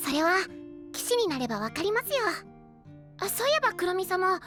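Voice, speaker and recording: A man's voice: extremely high pitch, very animated, fairly quick, sharp tone, full character voice. A man playing a small, childlike boy knight in a fantasy audio drama, polite, eager and easily flustered. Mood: cheerful. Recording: voice over background music.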